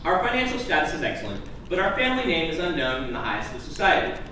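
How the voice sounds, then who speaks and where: A man's voice: fairly high pitch, very animated, fast talker, sharp tone. A man voicing a stern, exacting, humorless young noblewoman in a formal, clipped way, reading from a book to listeners. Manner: formal, clipped, matter-of-fact. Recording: noisy, echoey room from far off.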